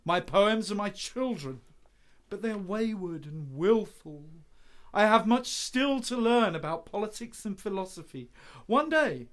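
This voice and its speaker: Man acting a lively, charming young poet. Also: high-pitched, unhurried. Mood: gracious. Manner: courteous, theatrical, flowery. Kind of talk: radio play period acting.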